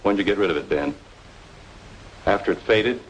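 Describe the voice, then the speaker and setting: A man's voice: low-pitched, animated, normal speed, a little hiss or hum, poor audio. An actor playing a wronged, obsessive younger man, speaking with insistent intensity in an old-time radio noir drama. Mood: insistent, sardonic. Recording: old radio recording, faint music.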